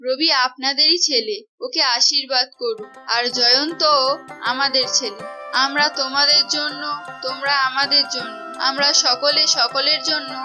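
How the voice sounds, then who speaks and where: A woman's voice: sits high, steady tone, moderate pace, close to an everyday voice. A woman playing a kind, earnest village mother in a cartoon. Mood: forgiving, solemn. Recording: muffled, music behind.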